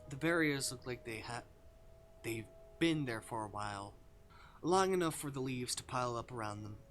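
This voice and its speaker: A male streamer reading a visual novel aloud with expressive, dramatic delivery and casual commentary. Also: mid-range voice, normal speed, slight background noise. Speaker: game streamer. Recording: music behind the voice.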